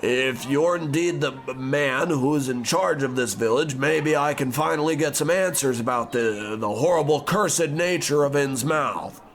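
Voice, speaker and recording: A man playing a priggish, educated, easily appalled gentleman researcher in an old-time radio horror comedy, haughty, fussy and hesitant. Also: mid-range voice, unhurried, few pauses, natural voice. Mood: bewildered.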